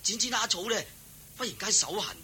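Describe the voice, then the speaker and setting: Simple young farmhand, Hong Kong Cantonese, piercingly bright, excitable, playful, stammering, radio drama, hissy old recording. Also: male voice, high voice, fairly quick, heavily acted. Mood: sheepish, apologetic. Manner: excitable, stammering, deferential chatter. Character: simple, playful, eager young male farm servant.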